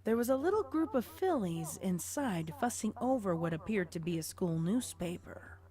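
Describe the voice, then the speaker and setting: A woman's voice: medium pitch, big pitch swings, normal speed, mellow. A woman narrating a scripted story as an audio drama narrator.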